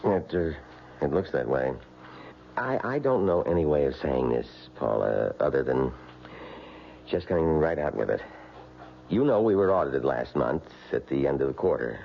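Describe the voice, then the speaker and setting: An actor playing a grave, hesitant office boss in an old radio drama. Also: male voice, very deep voice, lively intonation, moderate pace, gravelly, slight background noise, full character voice. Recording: dull old radio broadcast recording.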